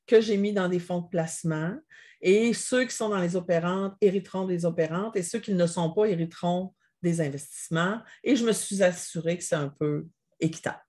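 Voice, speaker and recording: Measured Québécois woman mediator. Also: lowish voice, a little mellow. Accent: Québécois French. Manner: measured, explanatory, reflective. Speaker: guest expert, family business mediator. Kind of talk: interview answers.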